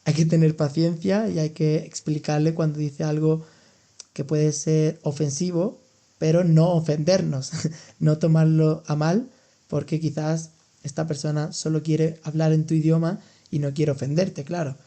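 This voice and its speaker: A man's voice: a little high, level tone, unhurried, mellow. A young man, hosting a casual language-learning podcast and explaining expressions patiently with examples. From Andalusia, Spain. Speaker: podcast host and language teacher. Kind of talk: casual educational chat.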